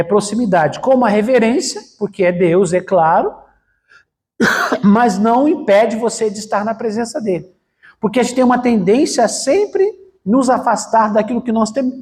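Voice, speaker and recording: High-voiced pastor preaching in a church. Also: male voice, normal speed, a little mellow. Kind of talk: conversational sermon.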